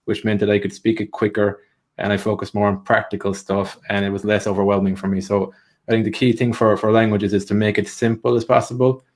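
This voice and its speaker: A man giving language-learning tips in a live training session, explaining steadily with frequent "so" and "like". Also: on the low side.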